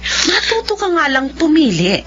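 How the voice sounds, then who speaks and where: An actress playing a frank, fiery older sister in a radio drama, speaking emphatically. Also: female voice, sits high, very animated, moderate pace, fairly bright tone. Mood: admonishing. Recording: muffled radio drama with faint music.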